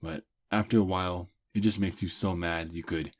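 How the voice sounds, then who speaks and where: Man acting a deep, husky, seductive vampire prince. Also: steady tone. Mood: courteous, charming. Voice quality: husky, breathy. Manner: slow, courtly, softly seductive. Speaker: ASMR roleplay performer. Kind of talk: intimate ASMR roleplay acting.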